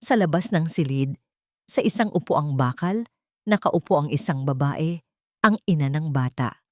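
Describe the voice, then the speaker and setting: A woman's voice: deep voice, expressive, moderate pace, dry recording, clean studio sound. A woman reading a dramatic scripted story as its narrator.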